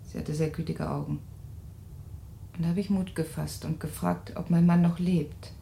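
Anxious tender refugee woman, radio play, lowish voice, wistful, bit of room noise behind. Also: fairly even intonation, moderate pace, gravelly, warm tone. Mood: quietly hopeful. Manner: wistful, searching, dramatic phrasing. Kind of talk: intimate radio play dialogue. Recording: roomy sound with faint ambient noise.

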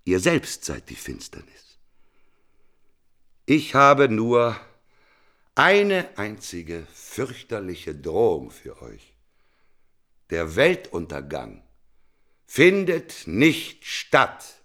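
An actor playing a bitter doomsday prophet in a radio play, declaiming with scorn and sardonic despair, his voice gravelly and husky. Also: fairly low pitch, wildly swooping pitch, extremely slow, pauses often, full character voice. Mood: wrathful, scornful. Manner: declamatory, sardonic, swinging from hushed to thundering. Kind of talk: literary radio play acting.

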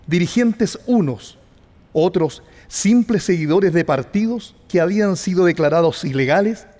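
A man's voice: fairly high pitch, a little mellow. A man reading scripted narration for a radio memorial drama in a grave, formal, declamatory manner. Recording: long hall reverb.

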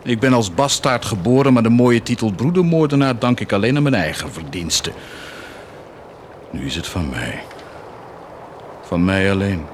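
A man's voice: lowish voice, lively intonation, normal speed, pauses often, a little hiss or hum, lightly acted. An actor playing a cynical, blasphemous army commander who is proud of his wickedness, in a theatrical, declamatory radio drama style with defiant outbursts. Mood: boastful, defiant. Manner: declamatory, defiant, swinging from muted menace to outbursts.

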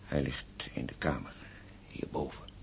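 An actor playing a courteous, solemn French innkeeper in a radio play, polite and hesitant, with a husky voice. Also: male voice, extremely low pitch, unhurried, a little hiss or hum, heavily acted. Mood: grave, reluctant. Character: courteous, grave French innkeeper breaking bad news.

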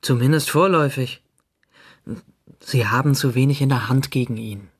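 A man's voice: medium pitch, normal speed, breathy edge. An actor playing a nonchalant, understated seventeen-year-old boy in a radio drama. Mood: offhand, dry.

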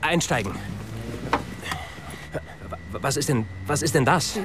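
A man's voice: on the high side, animated, slow pace, background noise. An actor playing a hot-headed, bossy young man, urgent and sweary, in a radio drama. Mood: furious. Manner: shouting, sweary, stammering urgency. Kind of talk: German radio drama acting. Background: music.

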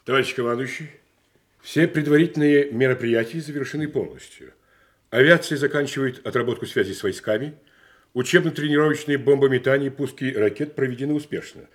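An actor playing a confident air force general, reporting formally in a Soviet radio play. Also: male voice, mid-range voice, brisk, close to an everyday voice. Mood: confident, official.

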